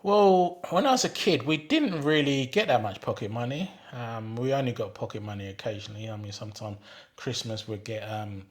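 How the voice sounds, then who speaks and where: An older man in his sixties, telling his life story at an easy pace. He hesitates often, filling pauses with ums and going back to rephrase. His voice sits in an ordinary middle register for a man. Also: medium pitch. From England. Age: 60s. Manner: unhurried, hesitant with frequent ums and restarts. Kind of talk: reminiscing monologue reading out questions.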